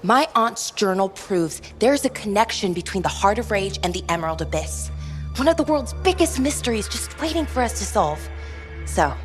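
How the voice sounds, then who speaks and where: A woman plays an impulsive young guard in a game cutscene. Her lively, mid-pitched voice is eager and brisk, with light music behind. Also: close to an everyday voice. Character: feisty, impulsive young female city guard. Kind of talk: video game cutscene acting. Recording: faint background music.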